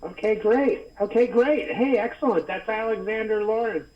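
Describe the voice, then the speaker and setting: A man's voice: high-pitched, moderate pace, slight background noise. A man hosting an online poetry reading in casual, effusive chat, often repeating himself, heard over a muffled, distant call. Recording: muffled lo-fi call, far from mic.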